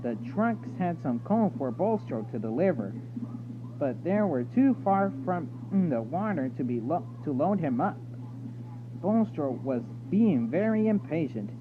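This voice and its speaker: A man's voice: a little high, sing-song, a little slow. A man reading a children's story aloud as narrator. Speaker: story reader. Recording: narrow phone-like sound with loud noise and hum.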